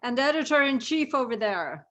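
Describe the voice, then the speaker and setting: High-voiced woman hosting a casual chat. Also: big pitch swings, moderate pace, fairly bright tone. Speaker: host of a cast discussion.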